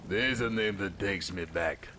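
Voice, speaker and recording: Casting: a cocky old-hand army colonel, deepish and expressive, radio drama, noisy background. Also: male voice. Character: injured veteran male colonel, cocky, weathered ex-operative. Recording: clear engine-like background noise.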